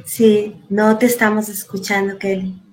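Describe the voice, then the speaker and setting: A woman's voice: medium pitch, flat delivery, measured pace, darkish tone, poor audio. A woman moderating an online meeting on a video call. She greets the audience, reads introductions and talks in a friendly way with many small fillers. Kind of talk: moderating a virtual panel, reading introductions.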